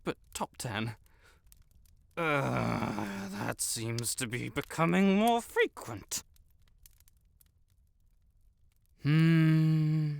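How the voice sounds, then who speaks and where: A man playing a vain, stuck-up young wizard with a secret soft spot, pompous and theatrical, in a roleplay audio drama. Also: fairly high pitch, no room sound, heavily acted. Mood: smug. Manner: pompous, theatrical, haughty, flustered outbursts. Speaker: voice actor.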